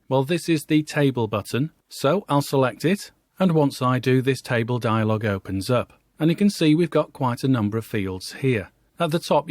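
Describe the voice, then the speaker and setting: Guy doing a software tutorial, step by step, chatty explaining, no room sound at all. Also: medium pitch, no room sound, clean studio sound. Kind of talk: instructional screen-by-screen walkthrough.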